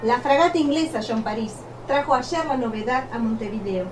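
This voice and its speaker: An actress as a high-voiced radio street reporter. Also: female voice, animated, brisk, close to an everyday voice. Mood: earnest, informative. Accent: Argentine. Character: polite, eager female radio street reporter. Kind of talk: live news report in a radio drama. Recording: distant, noisy outdoor-style radio recording.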